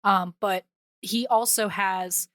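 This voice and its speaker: A woman talking casually as a podcast co-host, in relaxed conversational language. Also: mid-range voice, takes it slowly, no room sound, studio quality. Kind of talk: casual chat.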